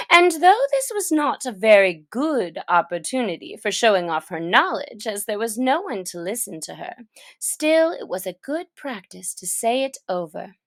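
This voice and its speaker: A woman reading a children's story aloud in a gentle, soft manner that often drops to near a whisper. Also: medium pitch, no echo, clean studio sound.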